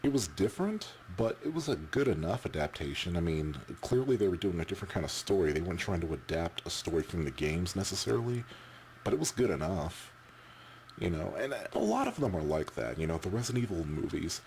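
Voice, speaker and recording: A man giving casual, off-the-cuff opinions as a vlogger, halting and repeating words as he rambles. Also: deep voice, big pitch swings, darkish tone, a little hiss or hum.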